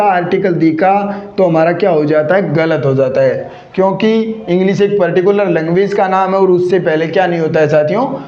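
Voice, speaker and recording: A man's voice: sits high, a little mellow. A man teaching a grammar lesson, who asks himself rhetorical questions and answers them. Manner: addresses viewers as friends, repetitive drilling. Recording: echoey room, dull lo-fi sound.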